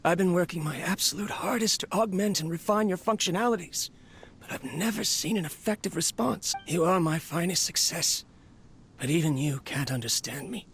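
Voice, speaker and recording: An actor playing a weary, lonely inventor, reflective and earnest, in a dramatic video game scene. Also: male voice, high voice, moderate pace, a bit bright, a little hiss or hum. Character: weary, lonely male inventor talking to his robot.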